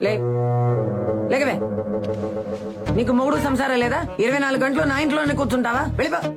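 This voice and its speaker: A woman's voice: high voice, fairly even intonation, a little fast, over loud music. A middle-aged woman acting an aggrieved, bossy mother and wife in a film comedy, complaining and scolding.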